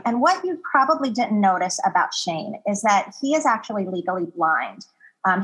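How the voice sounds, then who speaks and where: A woman co-host with a husky, slightly breathy voice. She talks earnestly and rambles a bit, working her way through what she means with plenty of ums. Her pitch sits in a middle range for a woman. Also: a bit bright, poor audio. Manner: earnest, rambling, with many fillers. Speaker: podcast co-host. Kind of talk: interview conversation.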